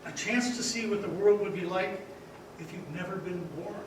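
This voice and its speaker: A man playing a gentle, innocent, very old little angel in a stage radio play, earnest and patient. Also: high voice, normal speed, natural voice. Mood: patient, solemn. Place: live stage in a large echoing hall. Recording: echoey hall from far off, noisy.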